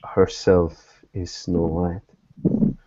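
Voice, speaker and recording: A man playing a wary, probing private investigator in a tabletop roleplay, terse and deliberate in manner. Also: low-pitched, level tone, warm tone, dry recording, lo-fi sound, exaggerated voice. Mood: measured, guarded.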